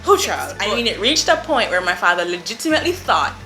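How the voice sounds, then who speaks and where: A woman's voice: mid-range voice, brisk, echoey room, faint music behind. A young woman from Jamaica with a Jamaican accent, telling a story to camera in an effusive, chatty way. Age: young adult. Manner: effusive, animated, lots of "I mean" and "like". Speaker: vlogger. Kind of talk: casual storytime.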